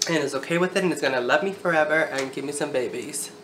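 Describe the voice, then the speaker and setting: A woman's voice: sits low, quick pace, a bit bright. A thirty-year-old woman chatting casually to the camera in a candid, informal, sometimes sweary way. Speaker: video blogger. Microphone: camera on a tripod. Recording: roomy echo, background noise.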